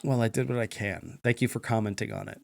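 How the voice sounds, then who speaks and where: A man's voice: fairly low pitch, steady tone, quick pace, creaky. Man bantering as a geeky podcast co-host. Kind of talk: casual comedic banter.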